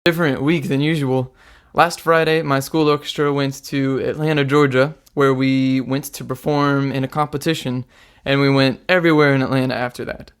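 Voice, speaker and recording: Teen male student, smooth clear voice of medium pitch, informal recounting. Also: steady tone, normal speed. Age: teens. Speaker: student interviewee. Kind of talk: informal interview answers.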